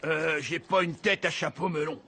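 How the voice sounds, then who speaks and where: An actor playing a stern, sarcastic football coach in a cartoon, curt and bossy. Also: male voice, a little high, moderate pace, gravelly, heavy background noise. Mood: curt, annoyed. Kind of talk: French animated cartoon acting.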